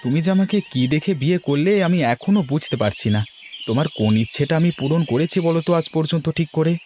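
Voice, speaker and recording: Casting: male actor as poor, doting cartoon husband, self-deprecating and plaintive, brisk delivery. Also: fairly high pitch, animated, darkish tone, a little hiss or hum, lightly acted. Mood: self-pitying. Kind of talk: Bengali cartoon dialogue.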